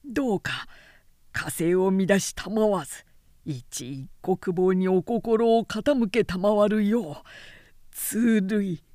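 A woman's voice: mid-range voice, slow, mellow, no echo, clean studio sound, close to an everyday voice. A woman voicing a stern, loyal male retainer who remonstrates formally and earnestly with his lord in an audiobook. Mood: grave pleading. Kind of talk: formal audiobook character reading.

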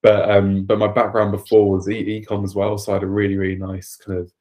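A man from Wales with a Welsh accent, a guest explaining his work in a relaxed way with some stumbles. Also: fairly low pitch, fast talker. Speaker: guest, agency director. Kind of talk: casual interview talk.

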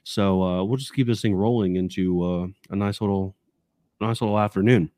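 A man co-hosting a casual sports podcast in an upbeat, excited way, recorded in a basement home studio. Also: lowish voice, moderate pace, dry recording. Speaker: podcast co-host.

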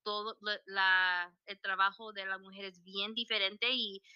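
Very bright, halting woman in her forties. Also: medium pitch, level tone, smooth, dull audio. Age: 40s. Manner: halting, restarts, switches between Spanish and English. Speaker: guest. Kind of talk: casual interview chat.

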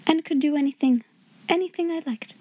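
Woman voicing a proud, high-voiced young girl. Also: fairly quick, warm tone, close to an everyday voice. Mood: proud, composed. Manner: grand, polite, candid.

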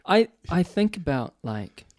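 A man's voice: high voice, very animated, unhurried, darkish tone, no echo, studio quality. A man in his forties working in healthcare, talking thoughtfully in a casual chat, often restarting his sentences. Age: 40s. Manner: thoughtful, restarts a lot, talks with his hands. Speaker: healthcare worker. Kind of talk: casual conversation. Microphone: boom mic.

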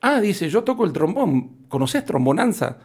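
A man's voice: high-pitched, sing-song, normal speed, darkish tone, husky. A man with an Argentine Spanish accent, speaking conversationally as a festival founder being interviewed on radio.

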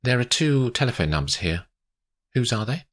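Man voicing a composed woman lawyer, lifted pitch. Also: very animated. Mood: businesslike. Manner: measured, precise, polite. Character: calm, professional woman lawyer, tactful but direct.

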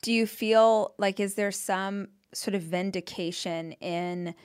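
A woman's voice: medium pitch, fairly even intonation, slow, clear, resonant tone, no room sound, studio quality. A woman in her thirties hosting a podcast, talkative and enthusiastic, with lots of "like". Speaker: podcast host. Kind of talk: casual interview.

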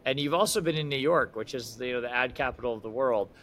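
A man hosting an interview show asks long, winding, multi-part questions with open curiosity. His voice sits at an average male pitch. A faint low rumble runs underneath him. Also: fast talker, a bit bright. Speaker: podcast host. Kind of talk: interviewing a guest.

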